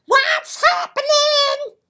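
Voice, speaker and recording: A woman's voice: very high-pitched, steady tone, extremely slow, sharp tone, voice pushed up, exaggerated voice. A woman voicing a goofy, boisterous male penguin in a storybook, exuberant and silly. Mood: hearty, loud.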